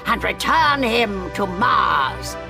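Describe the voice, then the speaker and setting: A woman's voice: mid-range voice, sing-song, slow pace, vocal fry, music in the background, exaggerated voice. A woman playing a vicious, imperious old alien witch queen in a theatrical sci-fi audio drama. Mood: scheming, imperious.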